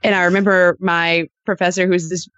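A woman's voice: lowish voice, a little fast, dry recording, dull audio. Chatty woman podcast guest, close dry sound. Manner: animated anecdotes peppered with "like" and "you know". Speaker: podcast guest, storytelling podcast co-host. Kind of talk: casual interview chat.

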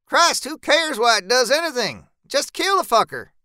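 Man acting impatient rural hothead, high strained pitch, forceful, snapping, dismissive, horror audiobook. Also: sing-song, normal speed, clean tone, no room sound, studio quality, heavily acted. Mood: angry, impatient. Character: irritable, foul-mouthed, impatient rural man. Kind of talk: dark horror audiobook character voice.

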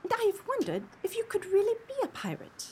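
A woman playing a gentle, wistful young cottage maid in an earnest radio play. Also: extremely high pitch, wildly swooping pitch, a little fast, a little hiss or hum, exaggerated voice.

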